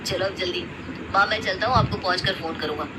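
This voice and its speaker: A woman voicing an impatient young husband in a moral story, brisk and grumbling. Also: fairly low pitch, very noisy, pitched below the performer's own voice, close to an everyday voice. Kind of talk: Hindi moral story acting.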